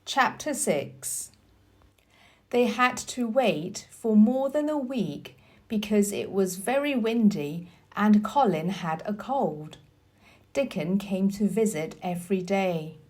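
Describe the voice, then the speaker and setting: Slow, expressive woman reading a story. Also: mid-range voice. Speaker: storyteller and teacher. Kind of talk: scripted storybook narration.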